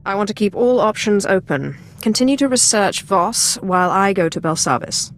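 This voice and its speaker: An actress playing a composed, imperious female Sith lord in a game, with a dry, quietly menacing manner. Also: medium pitch, lively intonation, normal speed, lightly acted. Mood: cool, composed.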